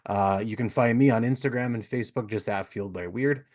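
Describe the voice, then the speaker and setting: A man's voice: on the low side, fairly quick, darkish tone. A man of about forty hosting a podcast, chatting in an informal, chummy way. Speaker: podcast host. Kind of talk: casual interview chat.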